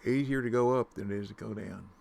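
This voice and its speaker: A man with a deep voice giving casual commentary on his own gameplay, muttering to himself and humming nonsense tunes. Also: quick pace, gravelly, darkish tone. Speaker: gaming YouTuber.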